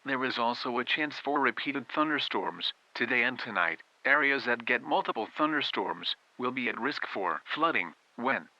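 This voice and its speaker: A man's voice: medium pitch, bright, forceful tone, lo-fi sound. A man reading a scripted emergency weather warning as an announcer, in a formal, clipped manner. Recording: hissy, noisy broadcast audio.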